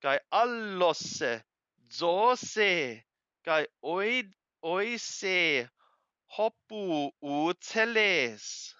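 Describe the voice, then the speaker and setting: A man reading scripture aloud phrase by phrase and translating literally, with deliberate, halting, emphatic phrasing. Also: high-pitched, big pitch swings, bright, forceful tone, clear, resonant tone, no echo, lo-fi sound. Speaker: Bible reader and translator.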